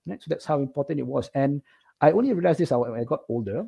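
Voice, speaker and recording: A middle-aged man from Singapore with a Singaporean accent, telling personal stories casually as an interview guest, animated and often checking in with "right?". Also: fairly high pitch, fast, vocal fry, breathy edge, dull audio.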